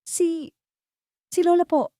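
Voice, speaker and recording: A frightened little girl in an audio story, put on by a woman. She speaks slowly and haltingly, close to tears, in a dry recording. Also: very high-pitched, fairly even intonation, dark tone, dry recording, pitched above the performer's own voice. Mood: scared, tearful. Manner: halting, near-whispered, broken words.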